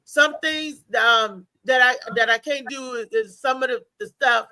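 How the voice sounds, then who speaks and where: A woman chatting casually in a plainspoken, colloquial and somewhat halting way. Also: high-pitched, pushed and bright, low-quality recording. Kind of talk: casual chat.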